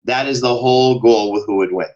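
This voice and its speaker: Expressive man on dull lo-fi audio. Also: medium pitch, brisk. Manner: emphatic, expressive, enthusiastic. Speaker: podcast guest, show producer. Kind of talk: animated casual interview talk.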